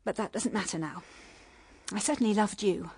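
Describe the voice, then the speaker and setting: An actress playing a pleading, proud young British wife in a period radio drama, speaking with emotional, halting delivery. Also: female voice, medium pitch, gravelly, darkish tone, breathy edge. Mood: sad, reproachful. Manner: emotional, halting, stammering under strain.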